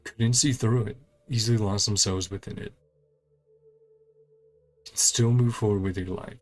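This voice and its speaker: A man's voice: on the low side, steady tone, normal speed, faint music behind. A man doing casual playthrough commentary on a video game, speaking in clipped fragments and murmured reactions. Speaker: gaming channel host.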